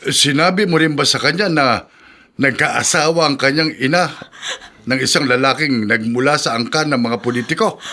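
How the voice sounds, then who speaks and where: A man's voice: mid-range voice, normal speed, bright, forceful tone. An actor with a Filipino accent playing a stern, foreboding older father in a radio drama, grave and emphatic. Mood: probing, disapproving.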